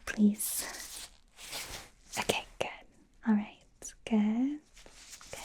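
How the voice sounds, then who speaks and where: A woman voicing a nervous, gentle female werewolf doctor in a soft, breathy whisper, hesitant and reassuring, for an ASMR exam roleplay. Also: mid-range voice, slight background noise, lightly acted. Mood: gentle, attentive. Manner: hesitant, self-correcting, giggly, reassuring.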